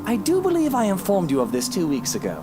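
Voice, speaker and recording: Posh grumpy rich husband, british accent, swoopy pitch, loud music behind him. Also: male voice, wildly swooping pitch, fast. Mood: irritated. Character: Luke, wealthy, grumpy, pompous husband. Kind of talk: visual novel voice acting.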